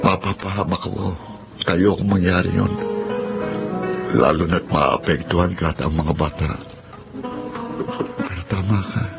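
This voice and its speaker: A very low-voiced, earnest husband and father in a radio drama. He speaks with measured, apologetic sincerity. The audio is dull, with loud music underneath. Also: vocal fry. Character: remorseful husband and father, formerly mother-dependent, earnest.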